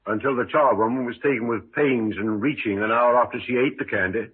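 An actor playing a polite, wary middle-aged small-town businessman in a 1950s radio drama, courteous and a little halting. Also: medium pitch, breathy edge, narrow, tinny audio. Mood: suspicious. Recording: thin, faintly noisy old radio recording.

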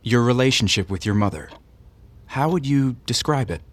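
An actress playing an experienced therapist in an audio drama, husky and composed, asking probing, direct questions. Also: female voice, rumbling low, animated, moderate pace, no echo.

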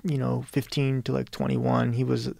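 A man's voice: medium pitch, completely flat delivery, moderate pace, gravelly, darkish tone, no echo. A man from Peru talking as an interview guest, rambling with many restarts and "you know". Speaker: interview guest, novelist and radio producer. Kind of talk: casual interview conversation.

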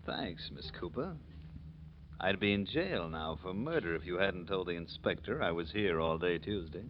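Smug, low-voiced radio crook. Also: male voice, animated, moderate pace. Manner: smug, mocking. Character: cocky, menacing murder suspect and landlord. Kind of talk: 1940s radio acting. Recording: muffled, noisy old radio recording.

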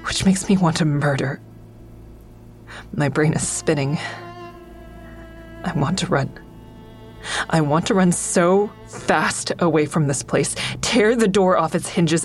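Actress as distraught woman narrator, low pitch, raw and bitter, audio drama, music underneath. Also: close to an everyday voice. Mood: anguished, bitter. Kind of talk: first-person dramatic narration and dialogue.

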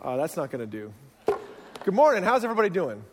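High-voiced joking man with a Canadian accent. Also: big pitch swings, fast, noisy background. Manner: self-deprecating, joking. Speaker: guest pastor. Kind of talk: humorous sermon. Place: large church sanctuary. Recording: long church hall reverb.

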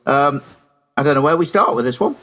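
A man's voice: medium pitch, expressive, fairly quick, fairly bright tone, lo-fi sound. A British man chatting casually and chummily as co-host of a radio show, with a teasing streak.

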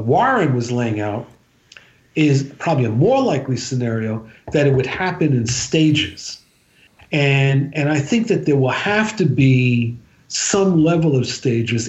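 A man giving political analysis as a podcast guest, speaking in a halting, deliberate, measured way. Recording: roomy, dull low-fidelity sound.

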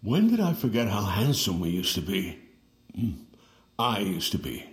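A man's voice: on the low side, very animated, normal speed. An actor in an audio drama playing a wry, world-weary old twin brother in his sixties. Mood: wry, moved. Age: 60s. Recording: roomy echo, music underneath.

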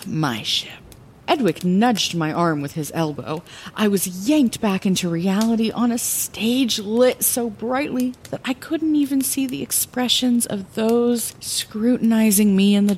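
A woman's voice: a little high, slight background noise. A woman playing a scatterbrained, insecure but plucky heroine who tells her own story in a fantasy audio drama, often hesitant. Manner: flustered, hesitant, expressive. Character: scatterbrained, insecure, plucky lighthouse keeper and would-be bard.

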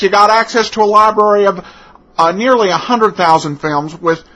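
A man introducing a podcast in a casual, halting way. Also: high-pitched, fairly bright tone, husky. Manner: halting, hesitant delivery. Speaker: podcast host.

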